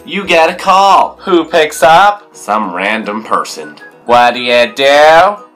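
A young man presenting a comic tutorial to camera in a small bedroom, with an animated, jokey manner. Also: on the high side, takes it slowly, soft background music. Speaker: video blogger.

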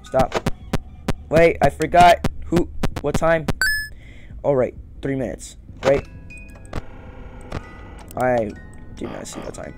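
A man's voice: mid-range voice, animated, takes it slowly, long pauses, music in the background. A young man giving casual game commentary, nervously muttering and repeating his words over and over. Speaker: gaming video host. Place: indoor room at home.

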